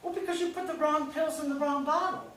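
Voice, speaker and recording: A woman's voice: high-pitched, steady tone, fast talker, a bit bright. A woman acting a stern, secretive older wife and mother in a staged play reading. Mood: defensive. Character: stern, secretive wife and mother, fiercely furious when crossed. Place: indoor staged reading, recorded from far off. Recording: echoey hall, distant mic, faint noise.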